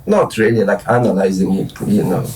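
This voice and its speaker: Polish man with a dark voice. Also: lowish voice, expressive, brisk, distant mic, slight background noise. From Poland. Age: 40s. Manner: rambling, hesitant, many restarts and fillers. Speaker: guest musician. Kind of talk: casual late-night interview.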